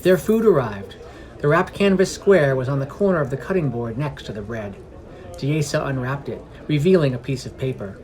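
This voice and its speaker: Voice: medium pitch, lively intonation, normal speed, darkish tone. A podcast narrator reading a scripted fantasy story. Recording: music under the voice. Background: background music.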